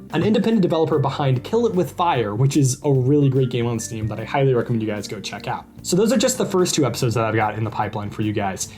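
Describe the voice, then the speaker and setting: A man's voice: on the high side, darkish tone. A man speaking as a podcast host, introducing things to listeners in an informal, upbeat and enthusiastic way.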